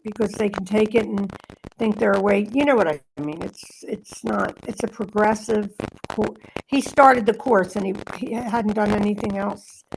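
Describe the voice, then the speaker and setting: A woman with noticeable creak in her voice leads a study group. She reads aloud and comments in a casual, conversational way. The recording has clear background noise with small clicks. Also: mid-range voice, fairly even intonation, moderate pace. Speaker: reader leading a study group. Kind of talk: reading aloud and casual discussion.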